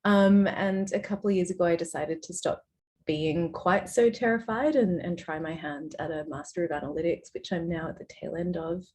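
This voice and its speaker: Mellow low-voiced woman hosting a panel. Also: normal speed, a little hiss or hum. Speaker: panel host.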